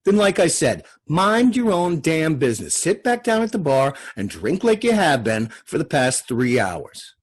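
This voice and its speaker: A man playing a pushy guy in a bar, confrontational and goading. The voice is husky and pitched up, with the belligerence of a table-read drama. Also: big pitch swings, a little slow, few pauses, heavily acted. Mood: belligerent. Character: pushy, belligerent male bar-goer at pool hall.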